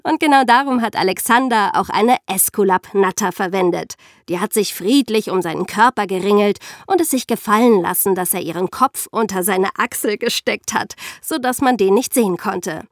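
A woman with a medium-pitched voice tells history as a podcast presenter would. She speaks in a conversational, explanatory storytelling style. The sound is dry, with no room echo. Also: normal speed, few pauses.

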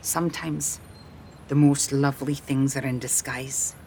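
Casting: female narrator as quiet, low-pitched romantic male lead, gallant, calm, lightly teasing. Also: moderate pace, a little hiss or hum, natural voice. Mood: soft, pointed. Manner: low-key, gently teasing. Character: Mac, calm, gallant, teasing handsome gentleman. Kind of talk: audiobook character dialogue.

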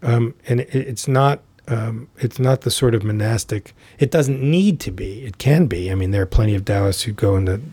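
A middle-aged man guesting on a podcast, telling a reflective story in an unhurried, thoughtful way with many fillers and restarts. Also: fairly low pitch, warm tone, breathy edge, dry recording. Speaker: podcast guest, tai chi teacher.

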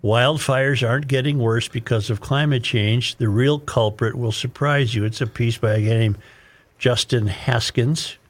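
Male American talk host, mid-pitched, emphatic and opinionated, delivering read-aloud commentary. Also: a little slow. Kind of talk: reading an article aloud with commentary.

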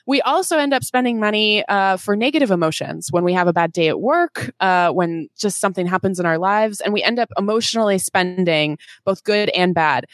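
A woman's voice: mid-range voice, dry recording. A woman in her twenties, a finance coach and guest co-host, chatting eagerly with fillers like "um" and "uh". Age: 20s. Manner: enthusiastic, with many fillers. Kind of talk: casual podcast chat.

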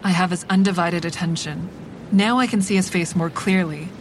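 A woman's voice: fairly even intonation, normal speed, lightly acted. A woman playing a cool, calculating narrator who tells her own story in a wry, controlled way in an audio drama. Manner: wry, controlled, matter-of-fact. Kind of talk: scripted first-person drama narration. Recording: faint traffic rumble behind.

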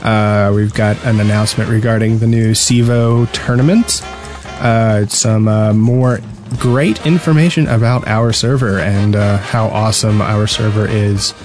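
A man's voice: lowish voice, fairly even intonation, measured pace, over music. A man hosting a casual gaming podcast with joking, teasing banter. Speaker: podcast lead host.

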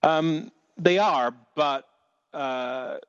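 A man's voice: fairly high pitch, extremely slow, a bit bright, smooth, no echo, in a large hall, dull audio. A man with a Dorset accent telling stories in a casual chat, rambling with many restarts and the odd swear word. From England.

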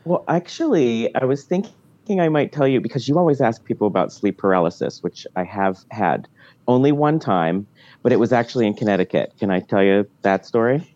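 Dull-sounding call, giggly low-voiced woman. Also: expressive, warm tone, dry recording. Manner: giggly, dramatic pauses, emphatic. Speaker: podcast caller. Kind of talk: personal storytelling on a phone call. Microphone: phone call.

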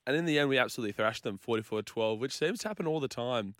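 A man with a slightly croaky voice, chatting casually about rugby league as a studio guest. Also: mid-range voice, fast, dry recording. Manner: relaxed, chatty, laughs easily. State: slightly croaky after losing his voice. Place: radio studio.

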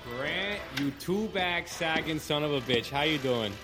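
An actor playing a brash, jovial Mexican van mechanic in an audio drama, passionate and foul-mouthed. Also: male voice, a little high, lively intonation, unhurried, over music.

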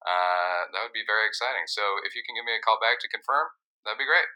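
Very sharp, lowish male reporter. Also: fast, rough recording. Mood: hesitant, eager. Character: hesitant, eager male theatre reporter on voicemail. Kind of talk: voicemail message in audio comedy.

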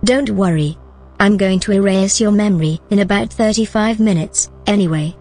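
An actress playing a cool, precise, dryly teasing scientist in a science-fiction audio drama. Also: female voice, mid-range voice, normal speed, a little mellow, natural voice. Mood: dry, composed. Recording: music bed behind the voice.

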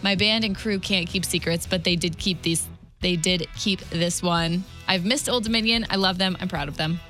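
A woman's voice: on the low side, moderate pace, fairly bright tone, faint music behind. A woman co-hosting a radio show, chatting and telling entertainment stories informally into a close studio microphone. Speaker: radio morning show co-host. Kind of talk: casual radio entertainment chat.

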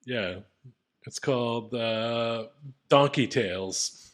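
A man's voice: medium pitch, takes it slowly, a bit bright. A man co-hosting a podcast in casual, joking banter that can turn profane. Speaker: podcast co-host.

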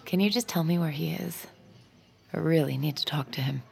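A woman playing a weary, determined fugitive in an audio drama, terse and naturalistic with a gravelly voice. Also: sits low, moderate pace, a little mellow. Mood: determined, evasive. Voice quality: gravelly, breathy near-whisper at times.

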